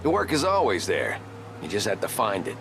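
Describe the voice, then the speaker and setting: A man's voice: lowish voice, wildly swooping pitch, fairly quick, background noise. An actor playing a smug, impatient businessman passenger in a game cutscene, brusque and condescending. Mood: smug, lecturing. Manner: brusque, pompous.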